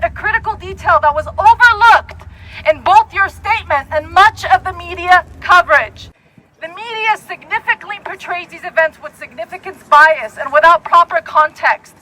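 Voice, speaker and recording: A woman's voice: very high-pitched, moderate pace, pushed and bright, slight background noise, poor audio. A woman protester reading a firm prepared statement outdoors on a city street. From Puerto Rico. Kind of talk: prepared speech at a rally.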